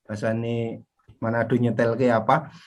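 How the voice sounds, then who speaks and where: A man's voice: mid-range voice, level tone, measured pace. A man with a Javanese accent moderating an online group discussion in a chatty way, laughing between remarks, on a video call. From Java. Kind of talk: casual online meeting moderation.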